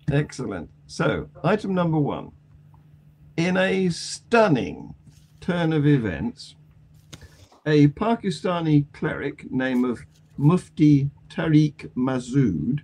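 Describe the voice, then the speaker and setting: A man's voice: on the high side, mellow, slightly rough. An older man hosting a news panel discussion in a jaunty, wry manner. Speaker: panel show host. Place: home attic room on a video call.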